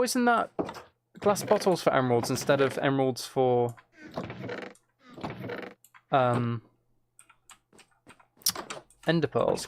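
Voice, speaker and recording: A man's voice: mid-range voice, creaky, slight background noise. A man live streaming, giving casual gameplay commentary and musing aloud as he chats to viewers.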